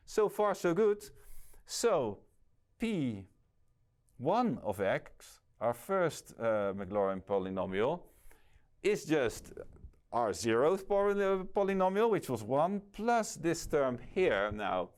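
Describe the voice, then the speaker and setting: A man with a Dutch accent, teaching a math lesson as a lecturer who thinks aloud and corrects himself with many fillers. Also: medium pitch. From Netherlands. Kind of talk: explaining at a board.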